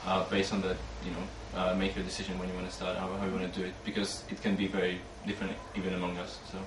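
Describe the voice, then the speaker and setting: Young male student from Slovakia, fast and monotone with self-corrections; roomy noisy room. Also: low-pitched. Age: young adult. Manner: quick, with restarts and uh fillers. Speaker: student panelist. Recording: roomy, distant mic with background noise.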